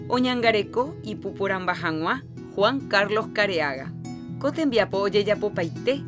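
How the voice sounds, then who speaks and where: A woman announcing the credits of a radio drama in a measured reading voice. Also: medium pitch.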